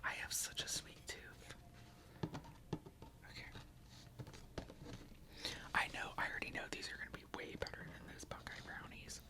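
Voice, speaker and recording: A woman's voice: measured pace, long pauses. Giggly whispering woman, close mic. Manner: soft whisper, giggly and chatty. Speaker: ASMR creator. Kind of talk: whispered ASMR chat. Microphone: close microphone.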